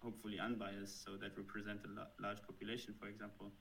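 A man's voice: lowish voice, monotone, fast talker, vocal fry, a bit bright, a little hiss or hum, low-quality recording. A man in his thirties with a German accent, answering interview questions thoughtfully, with frequent fillers and restarts. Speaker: software developer guest.

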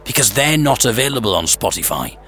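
A man hosting a podcast, narrating a scripted story with theatrical suspense, pauses and wry humour. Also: mid-range voice, big pitch swings, bright, forceful tone, a little hiss or hum. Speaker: podcast host. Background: music.

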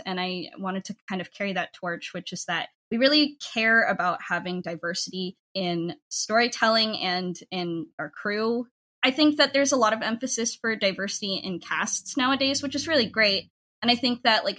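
A young adult woman talking casually and emphatically in an interview as a podcast creator, with an informal, animated way of speaking. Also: mid-range voice. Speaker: podcast network head and audio drama writer.

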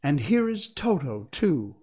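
A man's voice: fairly high pitch, big pitch swings, mellow, breathy edge, voice pushed up, exaggerated voice. A man reading a storybook, voicing an earnest young farm girl with heartfelt, grave delivery. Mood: earnest, glad. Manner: slow, grave, heartfelt exclamations.

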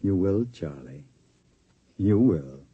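An actor playing a domineering, scheming American gang boss, commanding and condescending, in a 1950s radio drama. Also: male voice, low-pitched, lively intonation, slow pace, phone-quality sound, very noisy. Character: smooth-talking, domineering male gang boss, cold and scheming.

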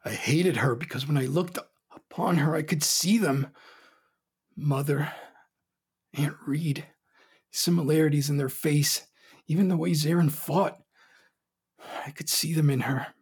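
A man's voice: on the high side, fairly even intonation, unhurried, long pauses, slightly rough, exaggerated voice. An actor playing a proud, grieving young emperor in an audio drama, brooding and formal in his delivery. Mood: bitter, guarded. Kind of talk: audio drama acting with first-person narration.

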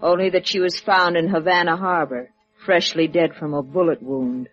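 Male actor as polite, over-precise servant, higher register, subtly insinuating, 1950s radio drama, dull sound. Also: fairly even intonation, a bit bright, close to an everyday voice. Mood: formal, guarded. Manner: measured, over-precise, formal. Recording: muffled old radio recording with music.

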